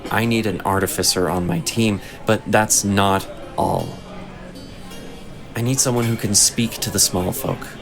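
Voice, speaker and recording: An actor playing a shrewd, composed male inquisitor in a fantasy audio drama, speaking in a measured, persuasive way. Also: on the low side, fairly even intonation, creaky, over music. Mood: earnest, persuasive.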